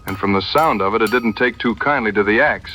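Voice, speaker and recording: An actor playing a steady, plain-spoken frontier hunter in an audio western, with measured folksy phrasing. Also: male voice, fairly low pitch. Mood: resolute. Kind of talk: dramatized audio western dialogue.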